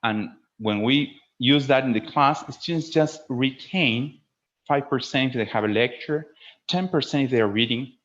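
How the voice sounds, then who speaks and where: A man's voice: mid-range voice, moderate pace, a bit bright. Man lecturing in a dull-sounding webinar. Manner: didactic, often ending phrases with a checking "okay?". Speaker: teacher giving a webinar. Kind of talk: webinar lecture to fellow teachers.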